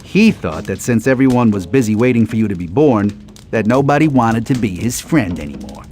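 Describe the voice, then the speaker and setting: A man voicing a dry, skeptical sabretooth tiger uncle in an animated game, teasing and deadpan but gruffly affectionate. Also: on the low side, brisk, soft background music, natural voice. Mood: teasing storytelling. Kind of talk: animated game cutscene dialogue.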